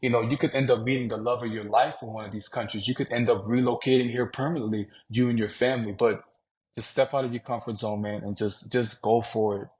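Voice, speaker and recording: A man's voice: medium pitch, fast. Easygoing man from Louisiana, laid-back talk. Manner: relaxed, conversational, fillers like "man" and "you know". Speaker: podcast guest, traveler. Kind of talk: casual interview talk.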